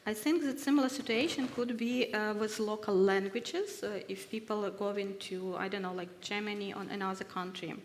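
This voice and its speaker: A woman from Ukraine, speaking haltingly on a workshop panel as a research fellow, with many hesitations. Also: mid-range voice, slight background noise. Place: university ceremonial hall. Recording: echoey hall with long reverb.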